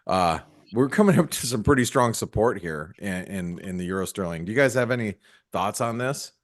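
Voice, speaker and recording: Low-voiced man hosting a markets chat. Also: fairly quick. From Taiwan-born American. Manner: relaxed, rambling with fillers. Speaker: webinar co-host. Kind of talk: casual market discussion.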